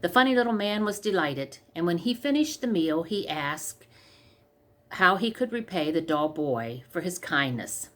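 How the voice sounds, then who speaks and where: A woman's voice: on the low side, animated, normal speed, a bit bright. A woman reading a scripted comic fairy tale aloud as a storyteller. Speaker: story reader.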